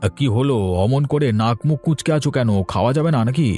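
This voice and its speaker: A man playing a stingy, grumbling middle-aged village father in a Bengali animated cartoon, talking in a dismissive, complaining way. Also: medium pitch, fast, soft background music, close to an everyday voice.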